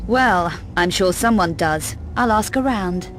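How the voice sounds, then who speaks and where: A woman's voice: mid-range voice, expressive, a little slow, faint music behind, close to an everyday voice. A woman playing a tough, self-assured adventurer in a video game, with a terse, dry, unflappable delivery. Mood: dry, businesslike. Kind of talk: video game cutscene acting.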